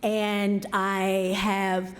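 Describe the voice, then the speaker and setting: A woman priest from South Africa giving a reflective address, telling stories in a slow, thoughtful way. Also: mid-range voice, monotone. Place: large hall. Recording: roomy hall reverb.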